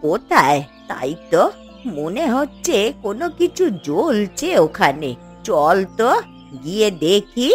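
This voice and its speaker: A woman voicing a gentle elderly village grandmother in a fairy-tale cartoon, speaking in a drawn-out, reassuring way. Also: medium pitch, big pitch swings, soft background music. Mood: curious, reassuring.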